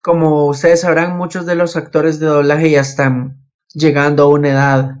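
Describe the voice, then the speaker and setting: A man's voice: on the high side, little pitch movement, moderate pace. A young man co-hosting a casual podcast chat about anime, recorded with dull, lo-fi sound. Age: young adult. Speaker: podcast co-host.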